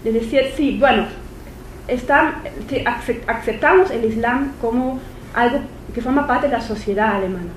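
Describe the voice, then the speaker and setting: A woman's voice: a little high, normal speed. A woman speaking with a German accent, a non-native speaker giving an academic conference lecture at a university, hesitant, with many fillers and restarts. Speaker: visiting lecturer. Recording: echoey lecture hall, faint noise.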